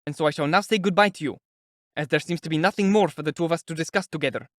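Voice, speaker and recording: A man voicing a resentful young visitor in an audiobook, speaking resolutely and irritably, close to shouting. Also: a little high, expressive, a little fast, dry recording, voice pushed up. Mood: exasperated, defiant. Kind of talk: audiobook character acting.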